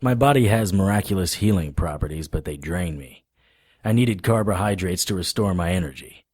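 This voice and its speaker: A man playing a weary, wry monster fighter, narrating an audio drama in the first person with a husky voice. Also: low voice, expressive, normal speed, darkish tone, no room sound, studio quality. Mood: wry, pained.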